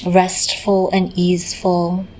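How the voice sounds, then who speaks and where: A woman guides a meditation in a gentle voice on the lower side. Her delivery is very even, with little rise or fall, and she leaves pauses inside her phrases. A light but steady background noise runs under the recording. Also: low-quality recording. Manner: gentle, unhurried, pausing mid-phrase. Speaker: meditation teacher.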